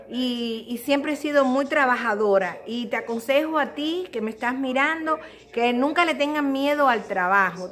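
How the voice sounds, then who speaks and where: A woman's voice: high-pitched, sharp tone. A middle-aged woman with a Cuban Spanish accent, chatting casually and earnestly to her viewers in a confessional way, recorded on a phone at home. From Cuba. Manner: earnest, addresses viewers directly, motherly advice. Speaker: vlogger. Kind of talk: casual confessional chat to camera. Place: small living room at home. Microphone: phone at arm's length.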